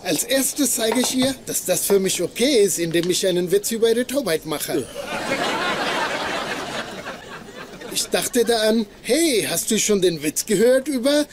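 A man's voice: high-pitched, lively intonation, moderate pace, few pauses, over a noisy crowd. An actor playing an eager, boastful, insecure young man in a German sitcom dub, with flowery, pleading talk. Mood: excited. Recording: sitcom soundtrack with audience noise.

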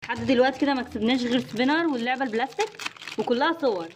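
A woman's voice: high voice, very fast, background noise. A woman with an Egyptian accent casually narrating an unboxing for children. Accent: Egyptian Arabic. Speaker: host of a children's toy channel.

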